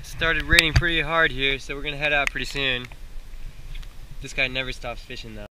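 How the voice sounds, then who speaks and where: A man's voice: medium pitch, steady tone, moderate pace. A man filming outdoors on a riverbank, chatting casually and teasing his companion, recorded on an action camera. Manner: teasing, laughing banter. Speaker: angler filming his brother. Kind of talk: casual joking chat. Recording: outdoor camera audio with noise.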